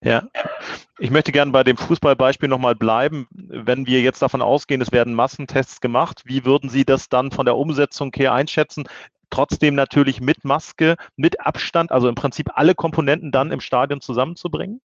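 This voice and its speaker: A man's voice: medium pitch, steady tone, fairly quick, no room sound. A man interviewing an expert, asking formal questions with hesitant phrasing and many fillers. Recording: dry, dull lo-fi audio.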